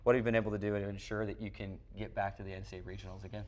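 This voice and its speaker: A man with a fairly low voice hosts a studio sports show. He talks very quickly in a broadcast interviewing style, moving briskly from point to point. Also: level tone.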